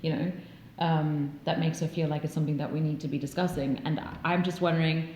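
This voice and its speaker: A woman in her twenties with a fairly low voice chats loosely, full of fillers and restarts. Her voice bounces around a roomy space, with a little hiss underneath. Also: flat delivery, a little fast, darkish tone. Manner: chatty, many fillers and restarts, laughs easily. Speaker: podcast host. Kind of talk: casual conversation. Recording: roomy echo.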